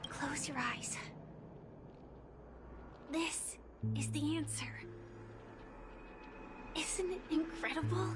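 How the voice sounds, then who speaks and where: A woman voicing a gentle, wistful young operative in a video game, softly and pensively, with breathy, unhurried speech. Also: sits high, roomy sound. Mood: calm, explaining. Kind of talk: video game story acting.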